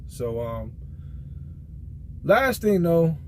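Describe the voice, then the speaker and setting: A man's voice: a little high, sing-song, drawn-out words, darkish tone, slight background noise. A man talking casually and colloquially, like a truck driver giving a rambling, conversational gear review in a vlog.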